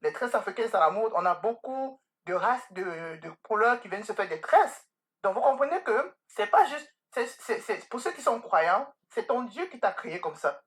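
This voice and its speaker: A woman's voice: fairly high pitch, very animated, normal speed. A middle-aged woman speaking as an interview guest, earnest and emphatic, telling anecdotes and addressing listeners directly. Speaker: podcast guest, beauty salon owner. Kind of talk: conversational interview answers with anecdotes.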